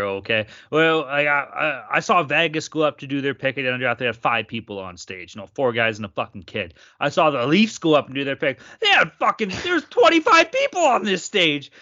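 Male co-host, bright sharp tone, profane rant, a bit higher pitched. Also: big pitch swings, moderate pace, few pauses. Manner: profane ranting, lots of swearing. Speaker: podcast co-host. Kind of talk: casual sports chat. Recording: slightly dull lo-fi audio.

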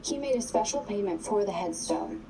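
An actress playing a clinical robot nurse in an audio drama, speaking in precise, formal, detached sentences. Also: female voice, medium pitch, lively intonation, moderate pace, dark tone, a little hiss or hum, lightly acted, poor audio. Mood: clinical, matter-of-fact. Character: clinical female robot nurse, literal and analytical.

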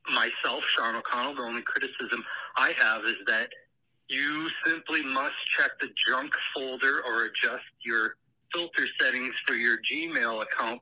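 A man leaving a phone voicemail with measured, mock-formal wording. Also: medium pitch, low-quality recording. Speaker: voicemail caller. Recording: sharp voicemail phone recording.

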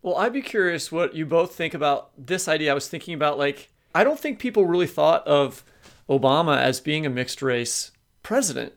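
A man's voice: fairly high pitch, lively intonation, normal speed. A man co-hosting a podcast, talking casually through a discussion. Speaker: podcast co-host.

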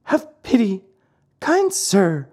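A man's voice: high-pitched, sing-song, a little slow, darkish tone, slightly rough, pitched above the performer's own voice, heavily acted. A man voicing a small, earnest wooden boy marionette with an imploring manner in a storybook reading. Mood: pleading.

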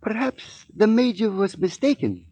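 A menacing East Indian smuggler in a 1940s radio serial. His voice is high and husky, dryly recorded, with deliberate, formal and taunting phrasing. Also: very animated, mellow, no room sound, heavily acted. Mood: smug menace. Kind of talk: 1940s radio drama acting.

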